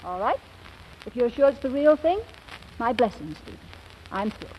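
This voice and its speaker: An actress playing a sharp-witted, possessive secretary in a 1940s radio comedy, with a brisk, arch, scolding way of talking. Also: female voice, fairly high pitch, big pitch swings. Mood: hurt, resigned. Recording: noisy, hissy old radio broadcast.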